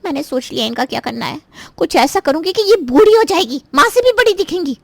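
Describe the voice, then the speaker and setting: Casting: female actor as scheming, resentful young sister-in-law, cartoon drama, extremely high pitch, close dry recording. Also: animated, brisk, vocal fry, no room sound, exaggerated voice. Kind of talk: Hindi cartoon voice acting.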